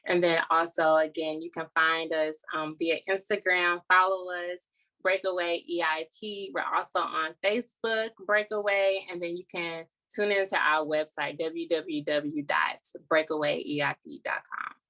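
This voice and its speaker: A woman's voice: low voice, level tone, moderate pace, bright, forceful tone. A woman speaking earnestly as a nonprofit founder, explaining her work as an interview guest.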